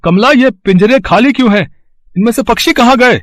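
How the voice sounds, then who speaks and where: A man voicing a gruff, short-tempered village bird-catcher in a moral-story cartoon, blunt and grudging with his wife. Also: very high-pitched, a little fast, no echo, clean studio sound, pitched above the performer's own voice. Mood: shocked, angry.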